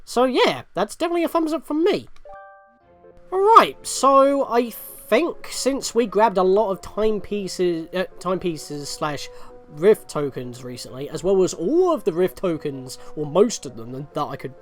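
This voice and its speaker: A video host giving reactive, rambling gaming commentary and thinking out loud. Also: mid-range voice, sing-song, unhurried, soft background music. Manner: rambling, self-questioning.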